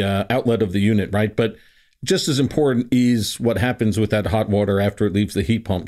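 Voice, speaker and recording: A man's voice: mid-range voice, a little mellow. A man hosting a podcast, asking the guest questions in a friendly, casual way. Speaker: podcast host.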